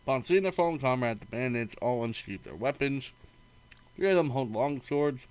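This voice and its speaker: A man's voice: mid-range voice, expressive, moderate pace. A man streaming a game, reading its narration aloud expressively, sometimes stumbling and breaking into casual asides.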